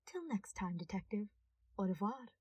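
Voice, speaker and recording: A woman's voice: mid-range voice, very animated, normal speed, a little mellow, husky, no room sound, distant mic. A woman voicing a suave, theatrical male thief, flirtatious and smug, dropping in French phrases, in a story. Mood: charming, smug.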